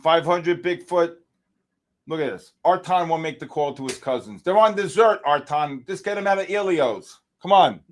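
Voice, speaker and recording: Excitable New man hosting. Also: on the high side, normal speed. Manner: excitable, joking, hypes viewers. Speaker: livestream host. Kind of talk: live trading stream chat.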